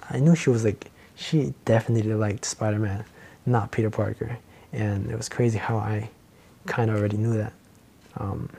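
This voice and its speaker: A teenage boy hosting a solo podcast, rambling casually with lots of fillers and restarts. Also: medium pitch. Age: teens. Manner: meandering, many fillers and restarts, soft laughs. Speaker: podcast host.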